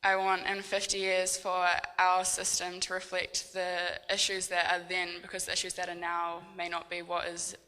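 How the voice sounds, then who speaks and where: A woman's voice: lowish voice, completely flat delivery, normal speed, few pauses, pushed and bright. A woman on a conference panel, speaking earnestly and posing pointed questions. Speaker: youth panelist. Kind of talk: panel remarks. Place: large conference hall. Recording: hall reverb.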